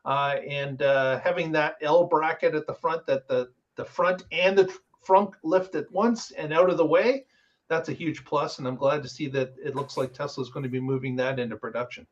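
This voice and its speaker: A man with a fairly high voice hosts a casual discussion show. He talks in an explanatory, conversational way. The sound is a little dull, like call audio. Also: steady tone, normal speed. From Canada. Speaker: show host.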